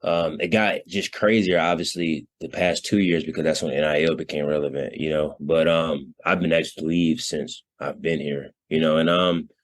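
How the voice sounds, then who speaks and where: A man's voice: extremely low pitch, fairly even intonation, moderate pace, hardly any pauses. A man in his early twenties, a college basketball player answering interview questions in a casual, slangy way, often saying "you know". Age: early 20s. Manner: informal, slangy, frequent 'you know'.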